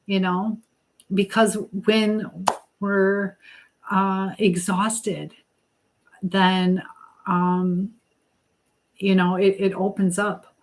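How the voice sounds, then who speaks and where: Female livestream host, middle-aged, mid-pitched voice, informal and motherly, speaking straight to her viewers. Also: little pitch movement, extremely slow, pauses often, slight background noise, low-quality recording. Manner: motherly, advising, addresses viewers directly. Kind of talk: casual live chat, answering viewers' comments.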